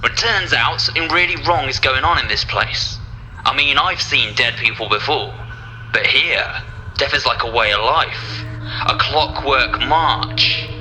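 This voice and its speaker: A man's voice: mid-range voice, a little slow, sharp tone, a little hiss or hum, poor audio. A man playing a guarded, street-hardened ex-convict in a video game audio log, talking in a tough colloquial way. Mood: guarded, uneasy.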